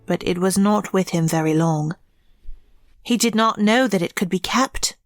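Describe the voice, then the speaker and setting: A woman giving scripted storybook narration in a measured, reflective way. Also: medium pitch, dry recording, clean studio sound, soft background music.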